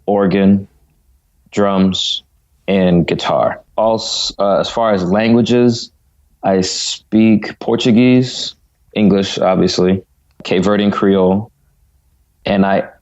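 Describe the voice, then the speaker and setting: A man's voice: sits low, slow pace, highs cut off. A man from Connecticut, a guest musician, talking casually in an interview with lots of "you know" fillers. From United States (Connecticut). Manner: relaxed, talkative, frequent fillers like "you know". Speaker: guest singer and saxophonist.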